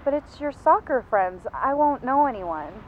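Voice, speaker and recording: A woman's voice: high voice, expressive, moderate pace. A woman playing a reluctant, plaintive young girlfriend in a naturalistic audio drama. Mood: reluctant, softening. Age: young adult. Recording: dull lo-fi sound, background noise.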